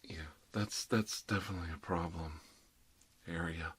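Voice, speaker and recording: A man playing a kindly older doctor in an ASMR role-play, speaking softly in an unhurried, hesitant, reassuring murmur. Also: low voice, fairly even intonation, a little hiss or hum. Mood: attentive, murmuring. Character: kindly older male doctor doing emergency dental work.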